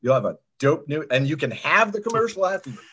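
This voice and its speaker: A man's voice: a little high, big pitch swings, fast talker, bright, forceful tone, slightly rough. Slangy, informal man chatting on podcast. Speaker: podcast producer and guest. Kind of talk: casual interview chat.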